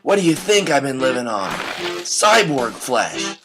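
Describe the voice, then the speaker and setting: Man playing slow, unhinged starving country boy. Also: medium pitch, very animated, music in the background. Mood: morose, pleading. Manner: clipped, repetitive, morose phrasing. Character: unhinged, famished country boy pleading with his father. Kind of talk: surreal audio drama acting.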